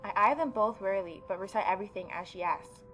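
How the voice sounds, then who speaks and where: A woman's voice: mid-range voice, quick pace, pushed and bright, low-quality recording. A young woman with a mid-range voice hosts a casual gaming playthrough. She moves between reading aloud and informal, offhand commentary, with faint music behind her. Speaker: gaming YouTuber.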